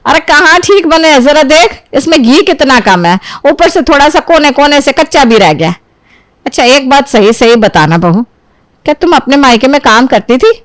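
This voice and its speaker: A woman puts on an older mother-in-law from a moral tale, in a high voice. She scolds and reproaches, proud and boastful, with a dry, close sound. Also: animated, moderate pace, no echo. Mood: scolding. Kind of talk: acted dialogue in a Hindi family storybook tale.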